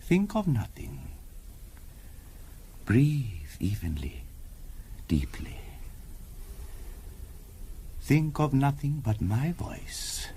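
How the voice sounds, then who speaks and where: An actor playing an earnest, formal spiritualist medium in a 1970s radio drama, measured and insistent in delivery. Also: male voice, mid-range voice, wildly swooping pitch, gravelly, slight background noise, full character voice. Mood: hushed, hypnotic. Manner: measured, formal, insistent, sometimes hushed and hypnotic.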